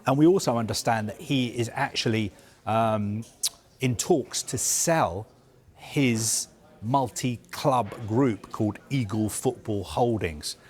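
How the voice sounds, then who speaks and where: A man reporting football news, explaining a story in a measured, careful way with frequent fillers. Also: mid-range voice. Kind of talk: live news discussion.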